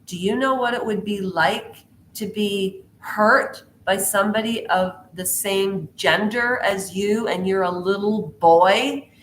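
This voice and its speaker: A woman's voice: mid-range voice, takes it slowly, a little hiss or hum. A woman vlogging pop culture commentary in a rambling, casual way, jumping between tangents and firing questions at her viewers. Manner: chatty, jumps between tangents, quizzes the viewer. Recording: echoey room.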